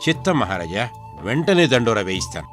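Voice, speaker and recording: A man voicing a royal chief minister, respectful and formal, in an animated folk tale. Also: lowish voice, fairly quick, over music.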